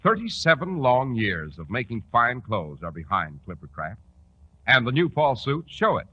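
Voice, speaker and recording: A man's voice: mid-range voice, sing-song, moderate pace, a little hiss or hum. A man with an American accent announcing on 1940s radio, with a polished, emphatic sales delivery. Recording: muffled 1940s radio recording.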